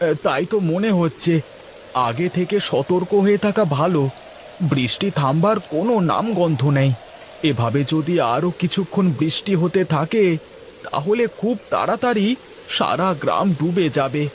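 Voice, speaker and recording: Cartoon casting: anxious village husband played by a man, highish voice, practical and insistent, faint rain behind. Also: animated, moderate pace, slight background noise, natural voice. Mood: worried, warning. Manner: urgent, insistent. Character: cautious, practical male villager, a worried husband. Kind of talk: cartoon dialogue.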